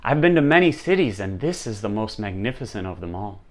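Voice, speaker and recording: Man playing a humble, polite young monk, lively intonation. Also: on the low side, brisk. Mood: admiring. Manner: polite, gentle, deferential. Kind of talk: storytelling character voice.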